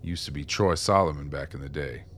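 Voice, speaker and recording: Male actor as worn-out older informant, very low voice, gruff, plainspoken, guilt-ridden, radio play. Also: brisk, fairly bright tone, slight background noise. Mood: bitter, remorseful. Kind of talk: radio drama acting.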